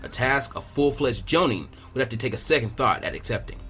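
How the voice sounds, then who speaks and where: Man playing a low, gruff, lazy ninja instructor. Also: expressive, pushed and bright, faint music behind. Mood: dry, threatening. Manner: dry, unhurried, dismissive. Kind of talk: acted fan fiction reading.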